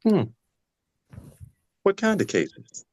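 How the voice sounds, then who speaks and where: A man's voice: high-pitched, wildly swooping pitch, very slow, a little mellow, full character voice. A man playing a nosy character who feigns casual small talk in a table read. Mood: casually prying.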